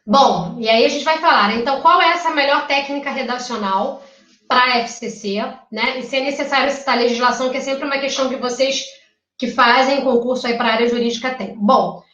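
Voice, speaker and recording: A woman with a Carioca accent lectures in a chatty way and keeps checking in with her listeners. Her voice sits a little high. The recording is distant, dull and roomy. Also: fairly quick, a bit bright. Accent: Carioca Brazilian Portuguese. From Rio de Janeiro, Brazil. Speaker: teacher. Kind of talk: live online exam-prep lecture.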